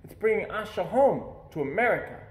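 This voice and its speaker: A man's voice: high voice, animated, fairly quick, pitched above the performer's own voice. A man voicing an eager, earnest young boy in a children's storybook. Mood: excited. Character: eager seven-year-old boy awaiting a baby sister. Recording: echoey room.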